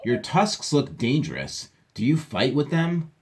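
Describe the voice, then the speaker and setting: A man's voice: medium pitch, big pitch swings, unhurried, darkish tone, pitched above the performer's own voice. A man reading as a curious, blunt letter-writer who puts wondering questions to odd storybook animals with exaggerated delivery. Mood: nosy wonder. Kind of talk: children's storybook read-aloud.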